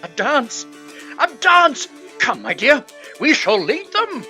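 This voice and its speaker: Bright, high-voiced jolly old master. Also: female voice, big pitch swings, slow pace, faint music behind, heavily acted. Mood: merry, festive. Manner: exuberant hallooing and exclaiming. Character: jolly, hearty old master Fezziwig, festive employer. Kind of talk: radio play acting.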